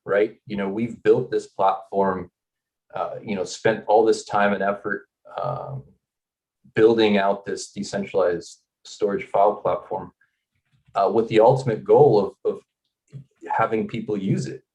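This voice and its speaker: A man from Northern California, founder and core developer, talking about his project in a halting way that repeats words. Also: lowish voice, expressive, a little mellow, poor audio. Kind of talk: casual presentation.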